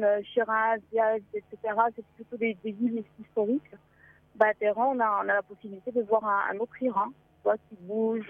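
A woman's voice: mid-range voice, no echo. Woman calling in over a phone line. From Morocco. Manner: hesitant, many filler sounds, restarts. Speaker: caller, travel blogger. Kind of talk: phone interview on a radio show. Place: inside a parked car. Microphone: mobile phone.

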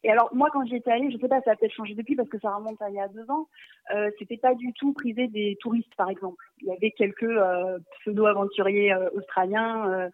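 A woman's voice: medium pitch, fairly even intonation, quick pace, no room sound. Hesitant woman on a tinny phone line. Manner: hesitant, many fillers and restarts. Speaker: magazine writer and guest. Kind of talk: radio phone interview.

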